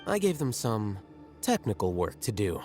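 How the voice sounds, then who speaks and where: An actor playing a calm, calculating young male scholar in a video game, speaking in a measured, detached way. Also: lowish voice, soft background music. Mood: businesslike. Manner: measured, matter-of-fact, detached. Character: composed, calculating young male scholar, coolly self-assured. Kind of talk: video game cutscene voice acting.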